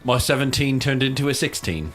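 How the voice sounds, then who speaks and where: A man's voice: medium pitch, lively intonation, brisk, soft background music. A man playing a tabletop game, joking casually with the other players.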